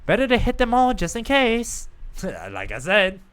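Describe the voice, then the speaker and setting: A man's voice: very high-pitched, wildly swooping pitch, unhurried, fairly bright tone, smooth. A man live streaming a video game, reading dialogue and reacting in a rambling way, often repeating himself.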